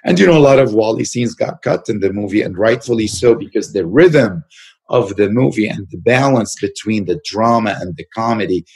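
A man's voice: on the low side, fairly quick, a little mellow, breathy edge. A man, an actor and producer, answering interview questions in a loose, searching way, repeating words and adding many fillers.

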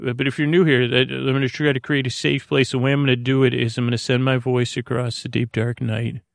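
Creaky, meandering man with a soothing drone. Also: mid-range voice, flat delivery, no echo, clean studio sound. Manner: meandering, halting, repeats and trails off mid-thought; soothing, lulling, friendly. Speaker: podcast host. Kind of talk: rambling bedtime monologue to help listeners sleep.

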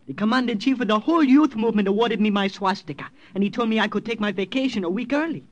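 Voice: mid-range voice, fast talker, muffled recording, lightly acted. An actor playing a zealous, suspicious thirteen-year-old boy, proud and earnest in a radio drama. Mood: proud, eager. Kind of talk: 1950s radio drama acting. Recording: old-time radio recording.

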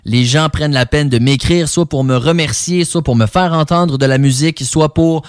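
A man's voice: on the high side, moderate pace, clean tone, no room sound. Québécois man hosting radio, very dry sound. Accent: Québécois French. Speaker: radio rap show host.

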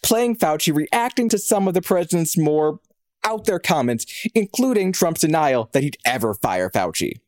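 A man's voice: fairly high pitch, big pitch swings, normal speed, darkish tone, no echo, clean studio sound. A man co-hosting, moving between a news update and casual banter in a wry, jokey, colloquial way. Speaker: co-host.

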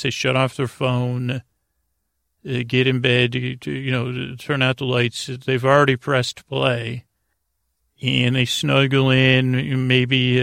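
A man hosting a bedtime podcast in a rambling, meandering monologue, often circling back and losing his thread, with a creaky voice. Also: mid-range voice, a bit bright, no room sound, clean studio sound. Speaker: podcast host.

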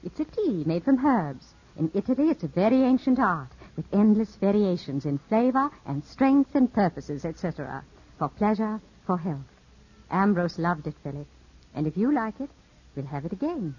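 A woman's voice: mid-range voice, sing-song, moderate pace. A woman playing a charming, teasing, composed lady in a 1950s radio drama, with a genteel, coaxing, theatrical delivery. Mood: smooth, poised. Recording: dull, slightly husky-sounding 1950s radio broadcast.

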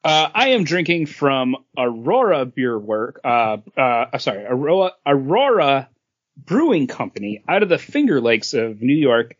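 A man's voice: medium pitch, very animated, unhurried, dull audio. A man co-hosting a podcast, talking casually with his friends. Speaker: podcast co-host. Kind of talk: casual panel chat.

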